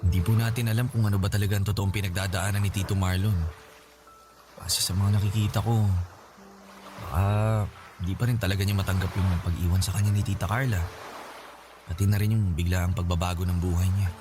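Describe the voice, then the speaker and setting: A man's voice: sits low, steady tone, brisk, long pauses, close to an everyday voice. A man acting a concerned, level-headed young adult son with a Filipino accent, earnest and respectful, in a Tagalog radio drama. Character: concerned, level-headed grown son advising his mother. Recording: music behind the voice.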